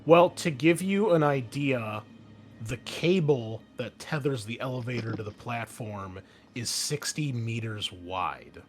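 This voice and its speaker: A man's voice: mid-range voice, animated, a little slow. A man speaking as game master, explaining a tabletop roleplaying scenario in a conversational, improvised way. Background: background music.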